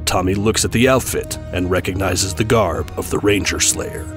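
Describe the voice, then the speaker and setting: A man with a husky voice narrating a scripted audio drama. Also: deep voice, moderate pace, music in the background.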